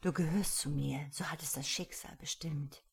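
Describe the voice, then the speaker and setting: A woman voicing a commanding, possessive and self-assured male seducer in a romance audiobook, low, hushed and menacing. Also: steady tone, normal speed, creaky. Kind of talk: romance audiobook character dialogue.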